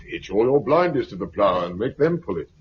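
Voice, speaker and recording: Low-voiced, smug military commander, old radio. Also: male voice, sing-song. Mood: officious, cold. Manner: brisk, officious, condescending. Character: smug authoritarian military commander of a post-apocalyptic regime. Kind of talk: 1950s radio drama acting. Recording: dull, noisy 1950s radio recording.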